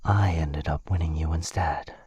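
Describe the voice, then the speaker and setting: A man playing a gentle, protective vampire gentleman, breathy and soothing, in a close-miked boyfriend ASMR roleplay. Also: rumbling low, fairly even intonation, normal speed, background noise. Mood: soft, soothing. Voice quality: breathy, husky, whispery. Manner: soothing, apologetic. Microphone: close mic, dry.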